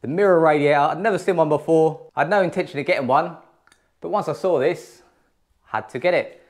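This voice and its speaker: An English man in his thirties speaks with easy enthusiasm, his voice sitting a little high. He sounds like he is walking a camera through the bare rooms of a house he is renovating, picked up on a small action camera. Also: fairly quick. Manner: enthusiastic, upbeat. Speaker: home renovation vlogger. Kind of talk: casual walkthrough narration. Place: empty room in a house under renovation.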